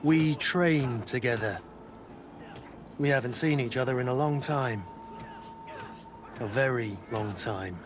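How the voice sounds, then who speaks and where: A man acts out a veteran soldier in a video game, brooding and suspicious. He talks bluntly in few words at a middle pitch, over clearly audible outdoor noise. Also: takes it slowly, long pauses, close to an everyday voice. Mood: reserved. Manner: terse, blunt. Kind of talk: video game dialogue acting.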